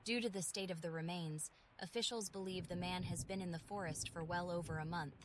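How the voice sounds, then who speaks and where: A woman playing a composed television news reporter in a video game, with formal, measured broadcast delivery. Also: on the low side, steady tone, a little hiss or hum, close to an everyday voice. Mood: serious, formal.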